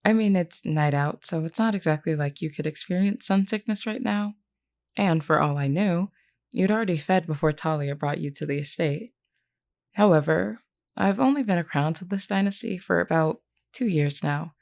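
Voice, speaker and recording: A woman playing a composed, kindly vampire queen in a scripted roleplay audio, speaking in a measured, formal, earnest and reassuring way. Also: on the low side, creaky, a little mellow. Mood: earnest, solemn. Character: composed, kindly vampire queen, solemn but caring leader.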